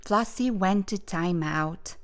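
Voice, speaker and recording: A woman narrating a children's picture book aloud in an expressive, deliberate storytime style. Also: medium pitch.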